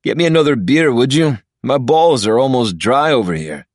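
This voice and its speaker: A man's voice: mid-range voice, animated, moderate pace, dry recording, clean studio sound, pitched above the performer's own voice, close to an everyday voice. A man voicing a cocky, crude young man who mocks others in a laid-back way. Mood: mocking. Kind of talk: audiobook character voice.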